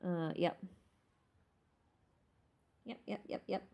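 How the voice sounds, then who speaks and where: A woman's voice: fairly low pitch, steady tone, slow pace, vocal fry, dark tone. A woman livestream host chatting casually about a game, thinking aloud in an informal way. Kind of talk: casual chat, game lore rambling. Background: background music.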